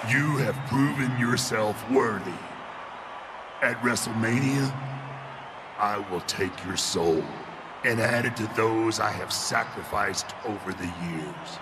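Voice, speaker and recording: A man playing a menacing, undead wrestler in a video game, speaking in an ominous, deliberate way. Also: fairly low pitch, very noisy. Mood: grim menace. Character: menacing undead male wrestler, grim soul-taker. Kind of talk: video game cutscene acting.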